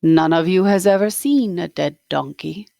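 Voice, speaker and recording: A woman voicing a stubborn, cryptic old male donkey in a storybook, drawing the words out slowly. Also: lowish voice, gravelly, mellow, no echo, clean studio sound. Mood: dour, cryptic. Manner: slow, drawn-out delivery. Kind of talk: storybook read-aloud.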